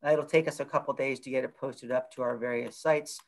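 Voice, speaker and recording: A man's voice: medium pitch, fairly even intonation, brisk, creaky, rough recording. A man in his fifties opens a webinar on a video call from home, with a loose delivery full of fillers. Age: 50s. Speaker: event moderator. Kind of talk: webinar introduction. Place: home room with shelves.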